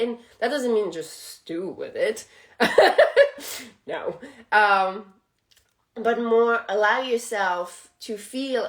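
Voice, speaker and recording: A woman whose first language is French, hosting a spiritual channel and talking casually, reflective and laughing often. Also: mid-range voice.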